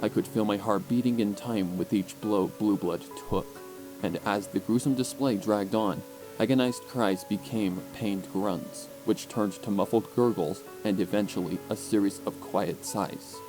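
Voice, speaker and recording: A man's voice: lowish voice, a little slow, low-quality recording. A man reading scripted story narration with an intense, theatrical delivery, as an audiobook narrator. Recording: music under the voice.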